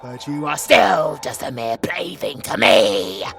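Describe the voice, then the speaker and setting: A man's voice: medium pitch, very animated, takes it slowly, gravelly, fairly bright tone, faint music behind, heavily acted. A British man voicing a sadistic, predatory supervillain monster in an audio roleplay, mocking his prey with theatrical menace. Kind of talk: villain audio roleplay acting.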